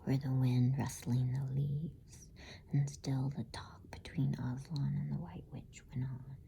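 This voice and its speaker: Whispering, creaky low-voiced woman reading. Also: flat delivery, slight background noise. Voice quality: soft, breathy whisper. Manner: slow, gentle, hushed. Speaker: bedtime story reader. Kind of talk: whispered ASMR storybook reading.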